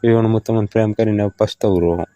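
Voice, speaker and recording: A man's voice: on the low side, steady tone, fast, warm tone, dry recording, muffled recording, natural voice. A man with a Gujarati accent playing a hard-up village lover who stalls, placates and bargains in a casual phone conversation. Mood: exasperated, placating.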